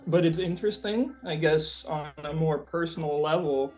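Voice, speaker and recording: A man being interviewed as a musician, talking casually and haltingly as he searches for the right words. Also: a little high, a little mellow.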